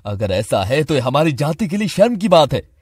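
A man's voice: fairly high pitch, sing-song, normal speed, a little mellow, breathy edge, dry recording, studio quality. A man voicing a grave, proud middle-aged king and father in a cartoon. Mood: grave, concerned. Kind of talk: Hindi cartoon voice acting.

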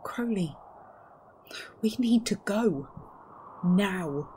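A woman's voice: medium pitch, animated, drawn-out words, dark tone, a little hiss or hum. A woman voicing a prim, anxious and earnest male angel in a comic dub, proper and fretful in delivery. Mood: worried, urgent.

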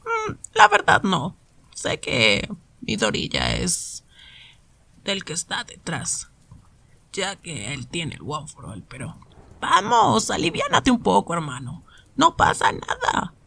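A man acting an animated, raspy anime hero. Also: high-pitched, takes it slowly, heavily acted. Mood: exclamatory, coaxing. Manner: exclamatory, teasing, swinging from loud to hushed. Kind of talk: anime fan-dub ASMR roleplay.

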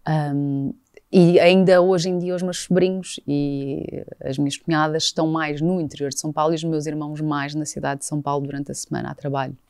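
A woman in her forties, born in Brazil, speaking with a mixed Portuguese-Brazilian accent as an animated guest in a studio podcast. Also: low voice, a little fast, clear, resonant tone, dry recording. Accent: Portuguese, shifting toward Brazilian Portuguese. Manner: animated, gestures with her hands, does mock voices for quotes. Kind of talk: casual conversational interview. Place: cosy caravan studio set. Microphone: studio mic on a boom arm, with headphones.

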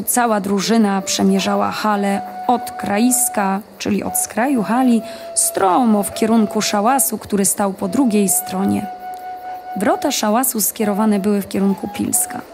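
A woman narrator reading a scripted audio-drama story in a measured, clearly phrased way. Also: medium pitch. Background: music.

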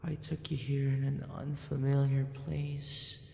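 A man playing a gentle, possessive yandere captor in an ASMR roleplay, speaking softly and often slipping into whispers. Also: completely flat delivery, takes it slowly. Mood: soothing, concerned. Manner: soft, breathy, often dropping into a whisper. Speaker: audio roleplay voice actor. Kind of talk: ASMR boyfriend roleplay acting. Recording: roomy reverb with faint rumbling noise.

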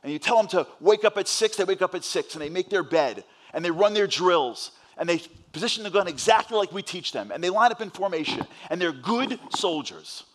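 A man with a New York accent delivers a motivational talk from a summit stage. He tells his story at a quick clip and acts out each exchange. His voice sits slightly higher than most men's. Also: animated, fairly bright tone, rough recording. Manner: fast-paced storytelling, acting out dialogue. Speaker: motivational speaker. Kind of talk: inspirational stage speech.

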